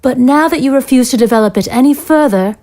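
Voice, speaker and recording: A woman's voice: high voice, warm tone, close to an everyday voice. A woman acting in an audio drama as a cold, ruthless scientist in authority, speaking in formal, sardonic phrases. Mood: triumphant. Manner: formal, sardonic, measured wording.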